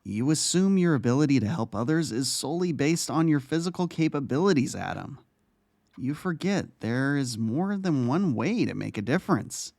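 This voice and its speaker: A man playing a patient therapist in an audio drama, measured and reflective, gently questioning. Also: mid-range voice, big pitch swings, vocal fry, dry recording, clean studio sound. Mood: calm, probing. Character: calm, probing male therapist, patient doctor.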